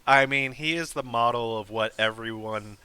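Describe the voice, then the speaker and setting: A man's voice: mid-range voice, normal speed, sharp tone, smooth. A man hosting a live stream, chatting casually with a guest in a rambling way full of fillers and restarts. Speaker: host.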